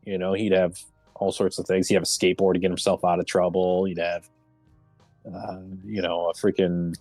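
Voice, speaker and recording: A man's voice: sits low, level tone, moderate pace, darkish tone, no echo, faint music behind. A man hosting a casual round table chat about entertainment, speaking in an informal, conversational way.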